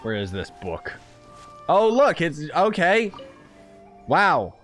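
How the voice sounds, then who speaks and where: A man's voice: on the high side, huge pitch swings, slow pace, a bit bright. A young man giving casual, slangy live gameplay commentary, with a joking, sarcastic manner. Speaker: game streamer. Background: faint game music.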